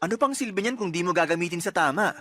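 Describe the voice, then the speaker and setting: A man's voice: sits high, expressive, racing, bright, forceful tone. A man acting an exasperated young boyfriend in a radio drama, arguing emphatically and pleading with his fiancée. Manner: argumentative, emphatic.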